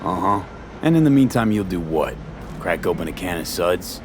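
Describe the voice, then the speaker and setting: Cocky american street merc in a game, low voice, wry and slangy, noisy city behind. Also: male voice, expressive, normal speed. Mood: sardonic. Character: cocky, sardonic young male street mercenary and thief. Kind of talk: video game cutscene acting.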